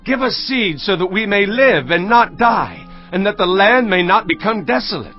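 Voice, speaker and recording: An actor playing a starving Egyptian commoner, earnest and imploring, in a dramatized audio Bible. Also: male voice, high voice, sing-song, moderate pace, soft background music. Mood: desperate pleading. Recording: dull lo-fi audio.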